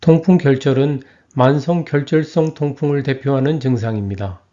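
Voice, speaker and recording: A man reading a scripted health lecture in an even, measured way. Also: mid-range voice, muffled recording.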